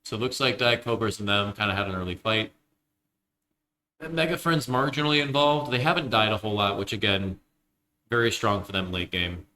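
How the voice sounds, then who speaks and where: Bright-voiced joking man. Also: on the low side, rough recording. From Illinois, United States. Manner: joking, self-deprecating, quick asides. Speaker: esports commentator. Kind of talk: live game commentary.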